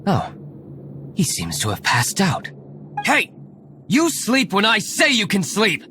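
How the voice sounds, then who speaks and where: A man's voice: high-pitched, huge pitch swings, slow pace, sharp tone, slight background noise, exaggerated voice. A man playing a cool, menacing aristocratic young hero in a video game, stern and formal. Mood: cold, threatening. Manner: stern, formal, coolly commanding.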